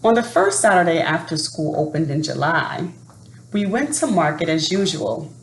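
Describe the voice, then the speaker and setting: A woman reading a children's picture book aloud in an expressive, unhurried manner. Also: low-pitched. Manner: expressive, unhurried, adds asides to listeners. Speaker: storybook reader. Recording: roomy echo, faint background noise.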